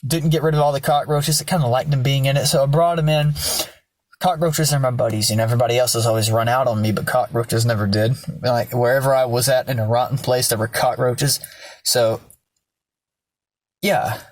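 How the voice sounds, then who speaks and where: Hoarse young man rambling to camera. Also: medium pitch, steady tone, brisk, no echo. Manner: rambling, loose, swears freely. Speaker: vlogger. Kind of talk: casual storytelling vlog. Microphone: self-filmed camera.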